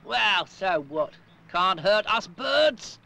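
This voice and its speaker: A man's voice: high voice, expressive, slow, vocal fry, sharp tone, exaggerated voice. A man voicing a cheeky, cocky, naive little cartoon robin, chatting in a 1970s public information film. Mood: startled, doubtful.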